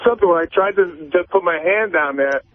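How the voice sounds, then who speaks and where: A man calling in to a radio show over a thin phone line, telling a casual confessional story in a halting, defensive way. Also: high voice. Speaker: phone-in caller.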